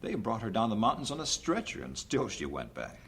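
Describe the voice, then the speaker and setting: A man playing an earnest, confident bishop who directs a mission, speaking in a measured, persuasive way in an old-fashioned radio drama. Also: lowish voice, wildly swooping pitch, vocal fry, a bit bright, full character voice. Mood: admiring, assured.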